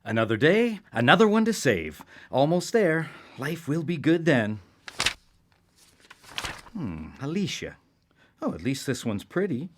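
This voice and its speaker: A man's voice: a little high, big pitch swings, a little slow, pauses often, slight background noise. A man playing a hapless, self-important savior of souls in a radio play, talking to himself in a grandiose, theatrical way. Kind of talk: live radio play acting.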